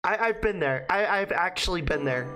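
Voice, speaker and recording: A woman's voice: fairly low pitch, lively intonation, normal speed, fairly bright tone. A woman streamer giving casual commentary during a game playthrough, speaking candidly and informally and swearing freely. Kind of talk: casual playthrough commentary, reading visual novel dialogue aloud. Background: music.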